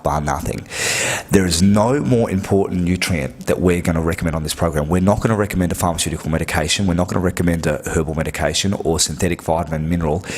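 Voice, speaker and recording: A man with a deep, low-pitched voice that has a husky edge to it. He talks straight to the listener like a health coach, earnest and direct, walking through each step and repeating key points. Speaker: health clinic practitioner. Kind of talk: instructional talk to camera.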